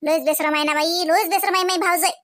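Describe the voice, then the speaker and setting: Village housewife for a comedy cartoon , played by a woman: tiny, very high, bright voice, Varhadi Marathi accent, gossipy and full of complaints. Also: fairly even intonation, fast talker, no room sound, studio quality. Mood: gossipy, complaining. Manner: chatty, gossipy, colloquial. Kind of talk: comic cartoon village dialogue.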